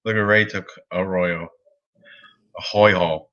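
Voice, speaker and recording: A man's voice: deep voice, fairly even intonation, takes it slowly, sharp tone, dull audio. A man co-hosting a casual sports betting show, rambling and laughing often as he talks through his picks. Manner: rambling, laughs often, reads chat aloud. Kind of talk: casual chat.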